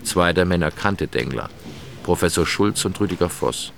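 An actor playing an investigator in a German crime radio drama, who also narrates the case soberly. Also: male voice, sits low, animated, normal speed, gravelly, a bit bright, slight background noise, heavily acted. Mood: sober narrating. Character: Dengler, weary male investigator, also narrating his case.

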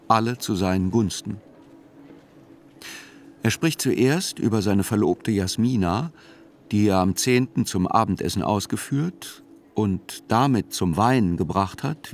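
Radio play narrator: probing, dryly ironic chronicler, lowish pitch, measured scripted delivery. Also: male voice, a little mellow, a little hiss or hum. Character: probing, wry male chronicler of a trial.

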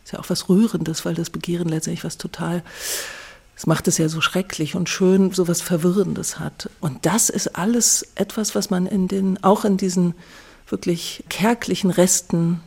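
Gravelly, dark-voiced woman thinking aloud. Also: on the low side, fairly even intonation, moderate pace. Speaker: writer being interviewed. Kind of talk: reflective interview talk.

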